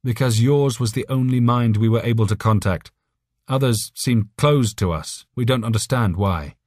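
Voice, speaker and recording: A man's voice: medium pitch, moderate pace, darkish tone, dry recording, clean studio sound, voice pushed up, close to an everyday voice. A man voicing an alien telepathic voice for an audiobook, urgent, friendly and earnest, with careful phrasing. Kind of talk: audiobook character voice.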